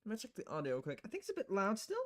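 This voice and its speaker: A woman's voice: medium pitch, huge pitch swings, rapid-fire. A woman chatting casually as she streams a game live. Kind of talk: casual live gaming chat.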